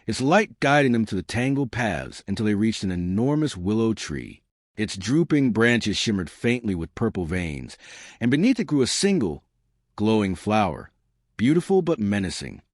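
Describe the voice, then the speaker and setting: A man's voice: fairly low pitch, no echo, studio quality. A man reading a children's fairytale as a scripted, storybook narration.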